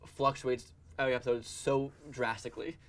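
A man hosting a casual pop-culture podcast at a brisk clip. He runs through news items in a chatty way, with a middling pitch. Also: little pitch movement, fairly bright tone. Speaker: podcast host.

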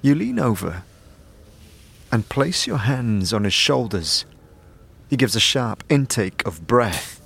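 A man's voice: medium pitch, big pitch swings, slow pace, creaky, pauses often, fairly bright tone, husky. A man narrating a story in the second person in a dramatic audio drama style, with music behind him.

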